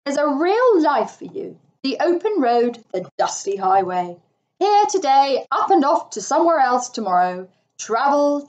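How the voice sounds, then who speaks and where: A woman's voice: fairly high pitch, dull audio. A woman voicing a boastful, impulsive male toad in a children's story, gushing and exclaiming grandly.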